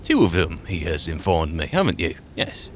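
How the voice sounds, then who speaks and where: A man roleplaying a musing, wry adventurer in a fantasy game, speaking in a halting, dry, reflective way. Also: low-pitched, huge pitch swings, a little hiss or hum. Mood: explaining, dry. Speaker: player narrating a roleplay. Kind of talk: in-character game roleplay monologue.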